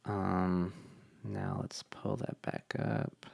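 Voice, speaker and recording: A young man talking through a casual coding tutorial, relaxed and thinking aloud with fillers, his voice tired from long meetings. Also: low voice, very slow, gravelly. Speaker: web developer.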